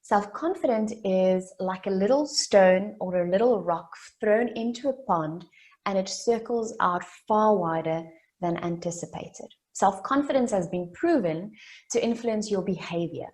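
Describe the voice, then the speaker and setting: A South African woman in her late twenties, a native Afrikaans speaker, giving an earnest motivational keynote that builds its story through deliberate repetition. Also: medium pitch, animated, a little mellow. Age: late 20s. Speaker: summit speaker.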